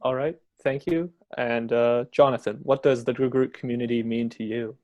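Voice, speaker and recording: A young man moderating a student debate in a relaxed, filler-heavy way. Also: medium pitch, normal speed, no echo.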